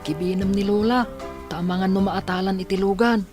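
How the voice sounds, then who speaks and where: A woman's voice: medium pitch, fairly even intonation, brisk, a little mellow, soft background music, natural voice. A woman acting as an earnest, protective grandchild in a radio drama. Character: older grandchild, protective young sibling.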